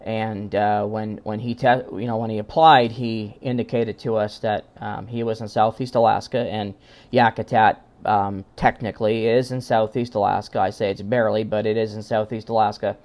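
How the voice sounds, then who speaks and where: A man giving an informal technical presentation as a conference presenter, speaking in halting phrases with frequent pauses and repeated words. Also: on the low side, flat delivery, darkish tone.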